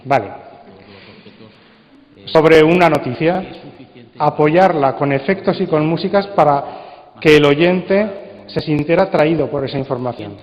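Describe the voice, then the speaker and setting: A man's voice: a little high, unhurried, pauses often. Spanish guy giving a talk, chatty, asks questions as he goes, echoey hall, kinda muffled. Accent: Spain. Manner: conversational, rhetorical questions to listeners. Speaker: conference speaker on radio drama. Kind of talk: informal spoken presentation. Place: large conference hall.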